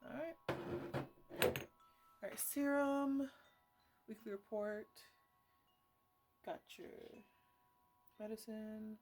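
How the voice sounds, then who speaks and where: A woman's voice: on the high side, very slow, pauses often, a little mellow, faint music behind. A woman playing a teasing, slangy botanist who chats to her plants in a casual ASMR roleplay. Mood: playful, doting. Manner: rambling, bantering, slangy.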